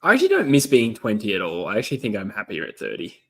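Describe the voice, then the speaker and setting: A relaxed man in his thirties with a lowish voice, chatting casually as he watches a football match. He thinks aloud and laughs easily. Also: rapid-fire, slightly rough. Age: 30s. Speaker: co-host of a live football watchalong. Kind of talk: casual chat.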